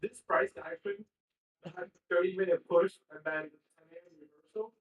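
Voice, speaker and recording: Higher voiced guy, dry sarcastic trade talk, echoey room. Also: moderate pace, far from the mic, narrow, tinny audio. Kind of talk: casual market commentary.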